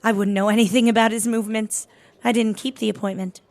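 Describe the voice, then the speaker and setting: A woman's voice: mid-range voice, little pitch movement, fast, a little mellow, natural voice. A woman playing a guarded, dignified lady in an audio drama, speaking in a composed, formal and reserved way. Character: guarded, curt, dignified divorced working woman.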